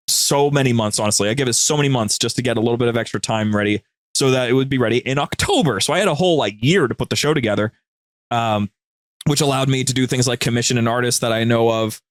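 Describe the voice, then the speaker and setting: A man's voice: mid-range voice, fast talker, no echo. A man speaking as a podcast guest and show producer, telling anecdotes in a casual, rambling, informal way. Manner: rambling, informal, conversational storytelling.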